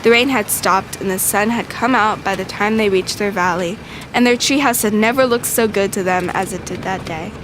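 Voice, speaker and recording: A woman reads a children's story as narrator. Her medium-pitched voice is expressive and clearly phrased, with some background ambience. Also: normal speed, noisy background.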